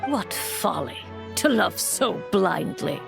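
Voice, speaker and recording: A solemn witch who reads the stars, with a creaky edge and sweeping intonation. Her phrasing is grave and archaic, like a prophecy in a fantasy game, with music playing behind. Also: mid-range voice, sing-song, slow, full character voice. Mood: grave, foreboding. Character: solemn, sorrowful seer witch, diviner of stars. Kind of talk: theatrical video game storybook acting.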